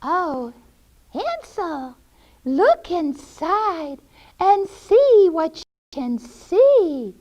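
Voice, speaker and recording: A wicked old storybook witch, acted out by a woman, very high and swooping in pitch. She coaxes sweetly with false kindness, then gloats in a theatrical, cackling way. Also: sing-song, very slow, darkish tone, heavily acted. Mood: sweetly coaxing.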